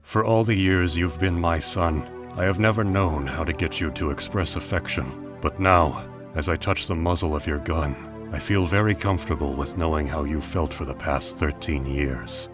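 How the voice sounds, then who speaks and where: A cold middle-aged business patriarch from an anime drama, acted by a man, speaks with formal restraint. His voice sits very low and gives little away, over clearly audible music. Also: normal speed. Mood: resigned, controlled. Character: cold, detached middle-aged male deer business magnate and father. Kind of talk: dramatic anime fan dub acting.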